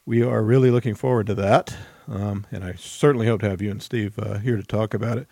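A man hosting an interview, introducing and asking questions in a somewhat hesitant, halting manner. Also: lowish voice, steady tone, creaky, dark tone, no room sound. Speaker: interview host. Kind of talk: book podcast interview.

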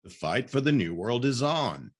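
A man's voice: medium pitch, sing-song, normal speed, slightly rough. A man hosting a podcast, moving between scripted announcements and casual interview talk. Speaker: podcast host.

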